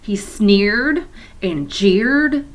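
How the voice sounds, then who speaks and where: A woman's voice: mid-range voice, expressive, drawn-out words. Woman reading a chapter book to kids. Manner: chatty asides to young listeners. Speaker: children's storyteller for a church. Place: church office.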